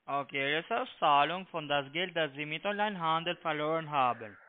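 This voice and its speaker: A man with a foreign accent, not a native speaker, making a halting, repetitive phone pitch over a narrow tinny line. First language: not German. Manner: halting broken German, repetitive. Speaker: scam call-centre agent. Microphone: telephone.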